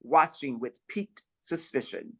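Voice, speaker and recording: A man reading stage directions aloud in a measured, even way during a play reading. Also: mid-range voice.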